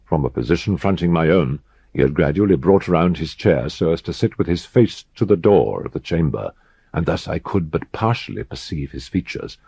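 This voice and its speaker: A man narrating a gothic horror audiobook in a grave, measured, dramatic manner with deliberate pauses for emphasis. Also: extremely low pitch, vocal fry, dark tone, breathy edge, dry recording.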